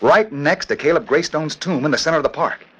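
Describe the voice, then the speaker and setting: A man's voice: a little high, lively intonation, a little fast, a bit bright, husky, poor audio. A man playing a harried, worried police commissioner in an earnest, emphatic 1940s radio style. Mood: troubled, baffled.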